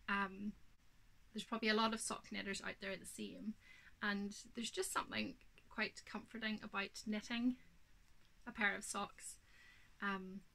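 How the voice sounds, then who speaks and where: A woman's voice: medium pitch, bright, forceful tone. A woman in her thirties with a Northern Irish accent, chatting casually about her knitting at home, a little snuffly with hay fever and full of ums. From Northern Ireland. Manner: chatty, unhurried, many ums. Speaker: knitting podcast host. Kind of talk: casual show-and-tell chat. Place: home craft room, indoors.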